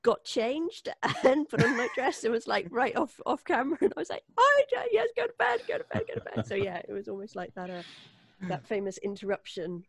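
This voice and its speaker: A woman's voice: a little high, sing-song, a little fast, gravelly, husky, no echo, studio quality. A woman with an English accent, a performer, talking casually and informally to viewers on a live stream. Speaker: voice actor and podcast performer. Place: indoors at home, remote group call.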